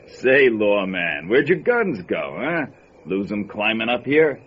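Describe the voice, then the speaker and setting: An actor playing a menacing, mocking stranger in an old time radio drama, taunting and sneering. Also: male voice, mid-range voice, big pitch swings, drawn-out words. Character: menacing, mocking male stranger, an armed East Coast tough. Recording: dull lo-fi audio with light noise.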